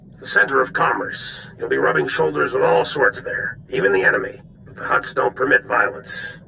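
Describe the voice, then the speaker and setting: Very gravelly, grave military general. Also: male voice, medium pitch, expressive, pushed and bright, slight background noise, full character voice, poor audio. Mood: businesslike. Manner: grave, deliberate military briefing. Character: weary, grave older male military general. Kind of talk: dramatic video game dialogue.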